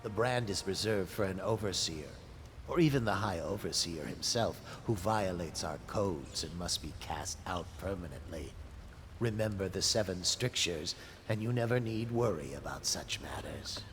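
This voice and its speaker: An actor playing a seasoned male brother of a stern religious order in a video game, speaking in a measured, formal way. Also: deep voice, expressive, a little hiss or hum. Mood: solemn.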